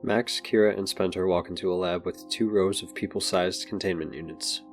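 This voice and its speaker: A man's voice: low-pitched, fairly even intonation, normal speed, soft background music, lightly acted. A man narrating a scripted superhero audio drama. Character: narrator of a superhero adventure story.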